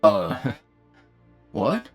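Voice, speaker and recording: A man acts a folksy, wry male crewmate in a sci-fi audio drama. He drawls slowly with lively, swinging intonation. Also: mid-range voice, gravelly, faint music behind, exaggerated voice. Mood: skeptical. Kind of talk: anime-style audio roleplay acting.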